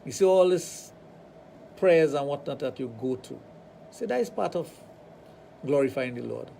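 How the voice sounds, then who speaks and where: A man with a Trinidadian accent, hosting a radio talk show and telling animated stories with acted-out dialogue. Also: medium pitch, normal speed, gravelly, a little hiss or hum. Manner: animated storytelling, acts out dialogue. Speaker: radio show host, sexologist.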